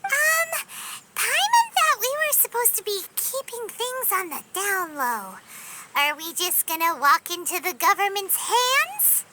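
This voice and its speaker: Casting: a tiny fairy sidekick, extremely high squeaky voice, childlike, excitable, swooping delivery for a video game. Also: female voice, a little slow, noisy background, full character voice. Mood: nervous. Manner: squeaky, cutesy, exclamatory, speaks of herself by name. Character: tiny excitable floating fairy companion girl, indignant, childlike.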